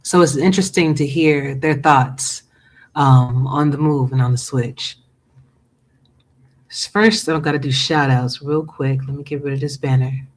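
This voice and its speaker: A man hosting a casual chat show, his voice going hoarse, upbeat with many ums. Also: medium pitch, steady tone, unhurried. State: losing his voice. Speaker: podcast host.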